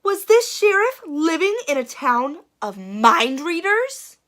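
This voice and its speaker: A woman reading a picture book aloud for children in an expressive, dramatic and playful way, building suspense. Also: extremely high pitch, takes it slowly. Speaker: children's storyteller.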